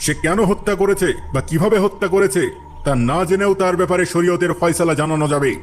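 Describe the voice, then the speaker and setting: A man's voice: high-pitched, brisk, a little hiss or hum, close to an everyday voice. A man playing a stern, authoritative caliph in a cartoon, judging in formal, solemn pronouncements. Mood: solemn ruling. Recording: music bed behind the voice.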